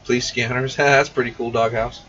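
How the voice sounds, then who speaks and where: A man's voice: medium pitch, level tone, normal speed, pushed and bright. A man hosting a casual shop livestream, reading and answering viewer comments in a folksy, informal way. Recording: muffled, distant mic with faint noise.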